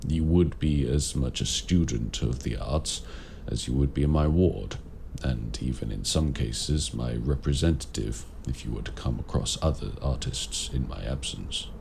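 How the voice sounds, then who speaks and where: A man playing a courteous, grave warlock mentor in raven form, speaking formally and deliberately in an audio roleplay. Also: very deep voice, creaky, darkish tone, slight background noise, exaggerated voice. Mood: grave, measured. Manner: formal, measured, deliberate phrasing. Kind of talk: audio roleplay addressed to the listener.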